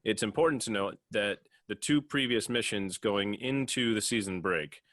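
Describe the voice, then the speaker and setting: A man co-hosting a podcast in casual discussion, halting and repeating words as he makes his points. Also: on the low side, fairly bright tone, dry recording. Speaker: podcast co-host.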